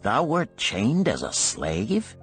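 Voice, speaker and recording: A man's voice: medium pitch, huge pitch swings, takes it slowly, faint music behind, voice pushed up. A man voicing a cynical, spoiled young nobleman who speaks emphatically in formal, archaic language, in an audiobook. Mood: astonished.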